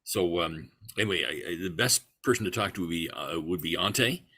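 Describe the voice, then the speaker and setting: Man, very deep voice, bright and husky, rambling explanation, video call. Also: expressive, normal speed. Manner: rambling, frequent restarts. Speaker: award creator, club volunteer. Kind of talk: online meeting answer.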